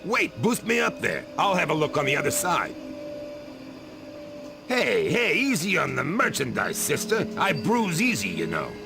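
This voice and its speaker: An American actor playing a gruff, wisecracking demon hunter trapped in a wooden dummy, with streetwise slang, in a video game. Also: male voice, fairly high pitch, huge pitch swings, measured pace, heavily acted. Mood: cheeky, complaining. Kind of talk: video game voice acting, hard-boiled tough-guy slang. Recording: loud music behind.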